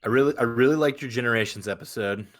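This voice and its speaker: A man's voice: mid-range voice, fast. A man co-hosting a podcast in casual, conversational chat. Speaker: podcast host.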